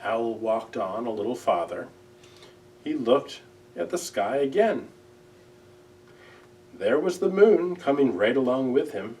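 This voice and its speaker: A man reading a children's storybook aloud in a gentle, measured, expressive way. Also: medium pitch, distant mic. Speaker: library director reading aloud.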